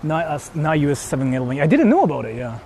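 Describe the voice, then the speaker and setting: A man with a Japanese accent talks in a slightly high voice over light outdoor city noise. He rambles casually like a live streamer, informal and blunt as he chats with viewers. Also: sing-song, fast talker, a little mellow. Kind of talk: casual livestream chat, answering viewers. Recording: noisy outdoor street, traffic ambience. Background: urban ambience and vehicles.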